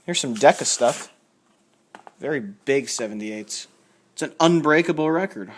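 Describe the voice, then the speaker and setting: A man talks in a medium-pitched voice, casually and without a script. He rambles a little, thinking aloud and reading labels out as a collector showing off finds would. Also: lively intonation, unhurried, slight background noise. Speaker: record collector showing a haul. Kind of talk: casual unscripted show-and-tell commentary.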